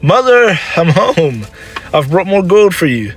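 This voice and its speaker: A man's voice: medium pitch, normal speed, a bit bright, faint music behind, close to an everyday voice. Man acting a proud, volatile young warrior. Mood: smug. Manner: defiant, scornful, heated.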